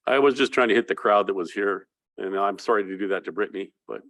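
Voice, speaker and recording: Low-voiced guy running a council meeting, loose, halting talk. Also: a bit bright. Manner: informal, halting.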